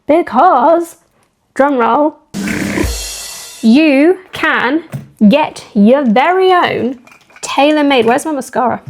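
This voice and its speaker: Casting: woman fitness vlogger, a touch high, croaky husky tone, chatty rambling delivery. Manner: chatty and upbeat, rambling with asides. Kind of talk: casual talking-to-camera vlog.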